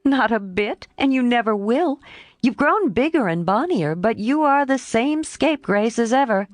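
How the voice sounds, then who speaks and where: A woman reading aloud and voicing an impulsive, excitable young woman, with exclaiming, high and animated lines. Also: moderate pace, no room sound, close to an everyday voice. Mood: teasing.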